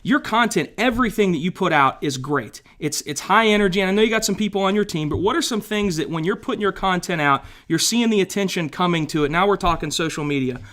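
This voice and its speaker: A man's voice: sits high, quick pace, hardly any pauses, a bit bright. A man hosting a podcast, asking questions in an enthusiastic, admiring way. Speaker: podcast host. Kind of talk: interview questions.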